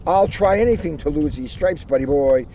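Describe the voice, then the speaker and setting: A man acts out a bouncy storybook tiger, cheerful and full of himself. The voice sits fairly high and is chummy and emphatic, over a noisy, slightly muffled outdoor recording. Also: expressive, moderate pace, mellow, close to an everyday voice. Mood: eager, puzzled. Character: bouncy, boastful, cheerful cartoon tiger, male.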